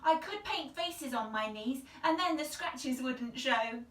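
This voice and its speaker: Woman acting cross, high-pitched little girl. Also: animated, measured pace, fairly bright tone, echoey room, recorded from a distance. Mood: protesting. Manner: grumbling, dramatic. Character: cross, defiant little girl playing tree pirate.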